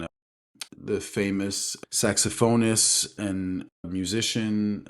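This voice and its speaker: A man from Benin speaking reflectively in an interview as a guest, thoughtful and halting, often adding "you know". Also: fairly low pitch. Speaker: guest, street dancer and choreographer.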